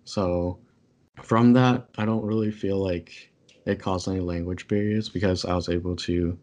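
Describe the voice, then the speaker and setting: A man's voice: low voice, fairly even intonation, moderate pace, darkish tone. A young man, a podcast co-host whose first language is Taishanese, chatting casually with lots of fillers and self-corrections.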